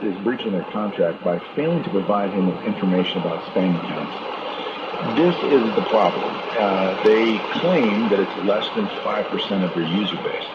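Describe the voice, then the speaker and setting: A man hosting a radio show, giving news commentary in a conversational, opinionated way, heard over shortwave radio. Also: on the low side. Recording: noisy, muffled shortwave radio reception with fading. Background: loud radio static and interference.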